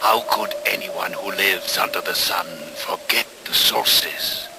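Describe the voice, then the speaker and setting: An actor playing a grave, stern wolf of the pack in a 1970s radio play, speaking with solemn declamation in a gravelly voice. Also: male voice, low-pitched, steady tone, slow, heavily acted. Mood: indignant. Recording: noisy old 1970s radio play recording.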